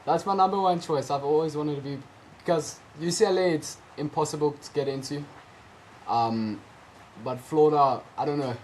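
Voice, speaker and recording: A teenage man with a South African accent and Afrikaans as his first language talks over a video call. He answers casually and haltingly, filling pauses with ums. A faint hum of background noise sits under his voice. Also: a little high, darkish tone, poor audio. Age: teens. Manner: hesitant, lots of ums, friendly. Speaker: guest, junior tennis player.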